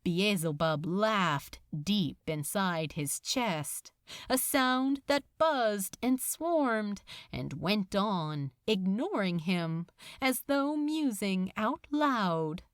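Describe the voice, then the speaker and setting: A woman reading fiction aloud as a narrator, in a theatrical, intense and deliberate manner. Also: mid-range voice, very animated, dry recording, clean studio sound. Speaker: podfic narrator. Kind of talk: dramatic scripted fiction narration.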